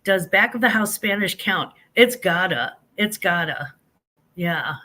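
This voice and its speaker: A woman's voice: on the low side, lively intonation, a little slow, bright, forceful tone. An older woman, a grandmother, hosting a casual livestream, chatting in a rambling, self-deprecating way while answering viewers, a little stuffy from allergies. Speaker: livestream host.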